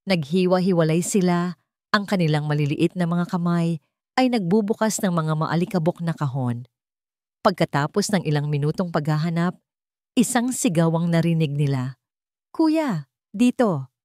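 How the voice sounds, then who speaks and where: A woman's voice: fairly low pitch, normal speed, darkish tone, no room sound, clean studio sound. A woman with a Filipino accent narrating a scripted story in a dramatic style.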